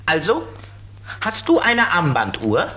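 A man voicing a grumbling flying companion with a husky, expressive voice in a children's radio play. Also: a little high, takes it slowly, heavily acted. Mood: patiently explaining.

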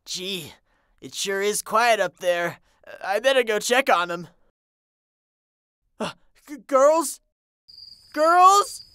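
Woman playing a high, panicky young cartoon pony. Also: fairly bright tone, dry recording, studio quality, exaggerated voice. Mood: nervous. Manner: stammering, slacker-like speech peppered with "man". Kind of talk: parody cartoon dub acting.

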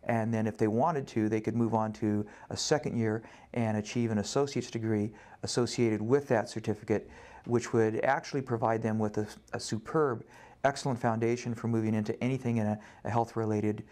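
A man's voice: lowish voice, a little mellow. A man giving an informational talk about a program, speaking in wordy, formal phrases as an instructor.

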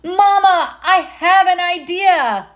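A woman voicing an excited, kindhearted little boy mouse in a storybook, eagerly stretching out key words. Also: very high voice, slow, pitched above the performer's own voice, full character voice.

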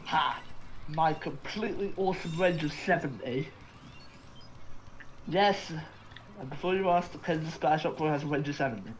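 A fellow player chatting during a shooter game, making slow, dry remarks about sniping. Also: mid-range voice, slight background noise, rough recording. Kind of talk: casual gameplay chat.

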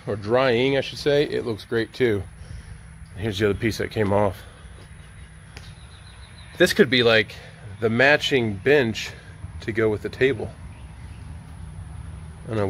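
A middle-aged man chatting casually and informally, thinking aloud as he narrates hands-on work at an open-air sawmill. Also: mid-range voice. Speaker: sawmill operator and vlogger. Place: outdoors at an open-sided sawmill shed and yard. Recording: noisy outdoor ambience, vehicle sounds.

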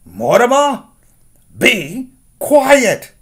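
Man playing strict father, storybook, loud scolding yell, raised pitch. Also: sing-song, drawn-out words, husky, full character voice. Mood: exasperated. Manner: loud, yelling. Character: strict father yelling at his noisy son.

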